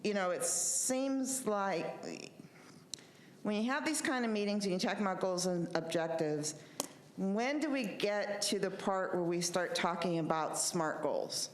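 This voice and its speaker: A loud-voiced woman puts direct questions to the facilitator at a city planning meeting. She is in a reverberant room, with a faint hiss behind her. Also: mid-range voice, unhurried. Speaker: meeting participant.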